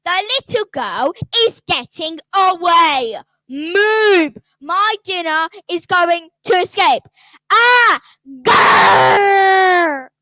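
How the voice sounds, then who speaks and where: A woman's voice: extremely high pitch, animated, very slow, bright, forceful tone, heavily acted. An actress voicing a furious, hungry old witch who shrieks, snarls and growls in a children's radio play. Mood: raging.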